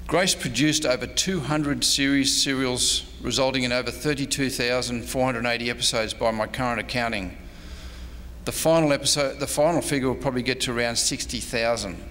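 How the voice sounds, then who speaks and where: Man, historian presenting, scripted lecture delivery, medium pitch, reverberant room, background noise. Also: steady tone, fairly quick, fairly bright tone. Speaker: historian and archivist giving a lecture. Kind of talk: prepared lecture read from notes. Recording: noisy, reverberant hall with hum.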